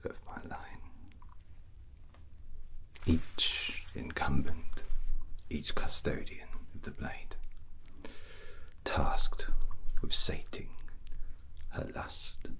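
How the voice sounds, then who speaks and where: A man playing a drunken, proud and menacing rogue prince in an ASMR roleplay, whispering slowly and deliberately in ornate language with long pauses. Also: pushed and bright, heavily acted. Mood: brooding, boastful. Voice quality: low breathy whisper. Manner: slow, deliberate, pausing, ornate wording.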